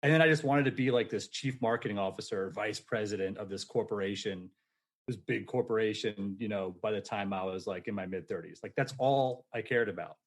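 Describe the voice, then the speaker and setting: A man's voice: lowish voice, fairly quick. A forty-year-old man being interviewed on a podcast, telling his story casually and energetically and laughing easily. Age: 40s. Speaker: podcast guest.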